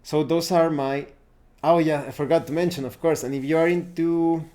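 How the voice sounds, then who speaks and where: A man giving a casual, unscripted review of roleplaying games, pausing now and then to search for words. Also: a little high, fairly even intonation, mellow. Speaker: tabletop roleplaying game reviewer.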